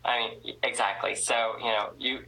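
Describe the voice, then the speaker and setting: A woman's voice: a bass voice, fairly even intonation, normal speed, a crowd in the background, poor audio. A woman interviewing a guest in a casual, supportive and teasing way, often restarting her questions.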